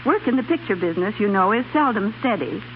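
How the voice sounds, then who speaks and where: A woman's voice: medium pitch, lively intonation, fast talker. A middle-aged woman giving formal, orderly, scripted answers as a guest in a 1930s radio interview. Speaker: club director, radio guest. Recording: noisy, dull 1930s radio broadcast.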